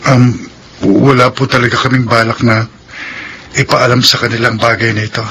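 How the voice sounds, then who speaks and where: Young Filipino husband, respectful and grateful, bright forward voice, faintly noisy radio serial. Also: male voice, medium pitch, flat delivery, moderate pace. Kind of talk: Filipino radio drama acting.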